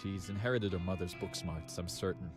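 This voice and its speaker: Man acting easygoing father, very low soft voice, polite, visual novel character, guitar music. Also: steady tone, a little fast, lightly acted. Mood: genial, polite.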